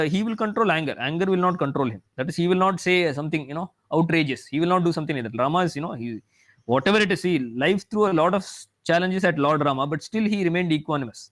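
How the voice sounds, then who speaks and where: High-voiced man, rambling lecture. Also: fairly bright tone. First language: Tamil. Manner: rambling, filled with "you know" and restarts. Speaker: mathematics professor giving a talk. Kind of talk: lecture-style talk.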